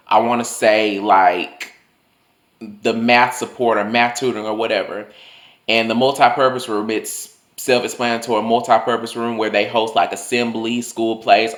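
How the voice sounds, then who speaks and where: Casual young male vlogger, talky and profane, mid-range voice that drifts up and down; roomy echo. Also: fairly bright tone. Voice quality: voice swings higher and lower. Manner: chatty, loose, full of "like" and swearing. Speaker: vlogger telling personal stories. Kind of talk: casual storytelling monologue.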